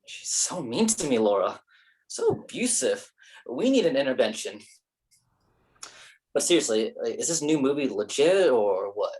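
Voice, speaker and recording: A man's voice: medium pitch, big pitch swings, a little slow, long pauses, darkish tone, breathy edge, poor audio. A man playing a theatrical, flirty, self-pitying actor in his mid-thirties in a staged play reading. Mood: flirty, teasing. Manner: whiny, theatrical, pretentious.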